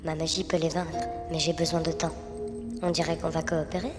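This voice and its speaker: An actress playing a wary, commanding elf sorceress and warrior in a fantasy audio drama. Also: female voice, sits low, level tone, a little fast, lightly acted. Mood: calm, commanding. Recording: long cave-like reverb under loud music.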